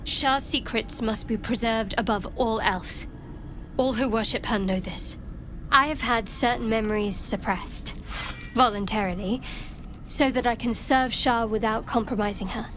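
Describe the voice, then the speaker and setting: A woman playing a guarded, devout cleric in a video game, speaking in a measured, solemn way. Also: fairly high pitch, fairly bright tone, slight background noise, low-quality recording. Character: guarded, devout female cleric keeping secrets of her goddess. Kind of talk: video game character dialogue.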